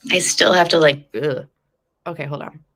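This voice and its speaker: Stuffy, low-voiced woman chatting with viewers. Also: moderate pace, a bit bright. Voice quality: nasal. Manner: chatty, addresses viewers as guys, fillers like um. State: stuffy nose from a cold. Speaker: true crime vlogger. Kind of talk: casual live chat commentary.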